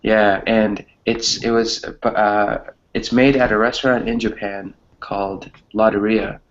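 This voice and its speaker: Male online class host, lowish pitch, casual and hesitant, lo-fi sound. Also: level tone, unhurried, a little hiss or hum. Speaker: online English class teacher. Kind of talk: casual chat with students.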